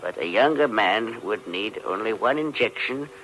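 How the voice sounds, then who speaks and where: An actor playing a stern, guarded older professor in an old radio mystery, with a brusque, authoritative manner. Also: male voice, fairly low pitch, animated, moderate pace, a bit bright, slight background noise, poor audio. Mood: absorbed muttering. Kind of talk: 1940s radio mystery acting.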